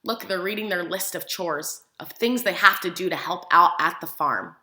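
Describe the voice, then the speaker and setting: Casting: woman preschool teacher, bright high voice, expressive picture-book read-aloud with questions to little listeners, recorded in an echoey room. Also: normal speed. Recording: roomy, echoing room sound.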